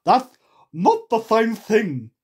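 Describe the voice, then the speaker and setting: A woman voicing a blunt, eccentric, argumentative man in a storybook, loud and drawn-out. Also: a little high, huge pitch swings, breathy edge, pitched above the performer's own voice, full character voice.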